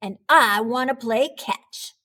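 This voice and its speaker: Woman as an eager, high-pitched young boy cat. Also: takes it slowly, bright, forceful tone, full character voice. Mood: enthusiastic. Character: eager, friendly young male cartoon cat.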